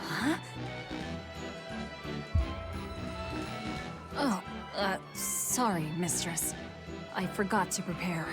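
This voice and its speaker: A woman's voice: fairly low pitch, slow pace. A woman voicing a sullen, cynical young woman in a video game, guarded, curt and bitter. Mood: flustered. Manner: curt, flat-spoken, bitter. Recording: music behind the voice.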